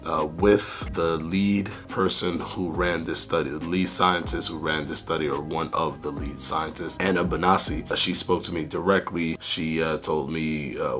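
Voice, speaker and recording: A young man with a hoarse, raspy voice, rambling casually through a fitness vlog update with many fillers. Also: rumbling low, bright, forceful tone. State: hoarse, losing voice. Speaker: fitness YouTuber.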